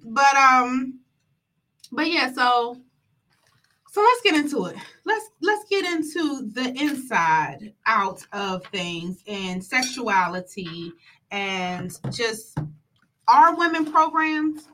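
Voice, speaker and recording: High, bright-voiced woman hosting a casual talk show. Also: lively intonation, slow pace, a little hiss or hum, poor audio. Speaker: show host.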